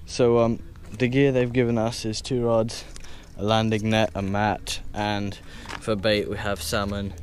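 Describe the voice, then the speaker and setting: A man's voice: fairly low pitch, little pitch movement, measured pace, few pauses, a little hiss or hum. A young man narrating a casual fishing vlog outdoors, talking chattily with fillers into an action camera he holds out. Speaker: fishing vlogger. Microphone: action camera held at arm's length.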